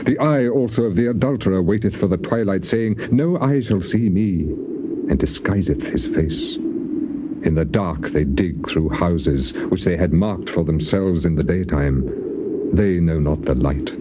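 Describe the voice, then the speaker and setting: A man's voice: low voice, unhurried. An actor playing a suffering, aggrieved and devout biblical man in a solemn, declamatory scripture drama, on an old cassette recording. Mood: grave indignation. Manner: declamatory, anguished, archaic formal phrasing. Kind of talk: dramatized scripture reading, solemn theatrical delivery. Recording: dull, very noisy old cassette tape.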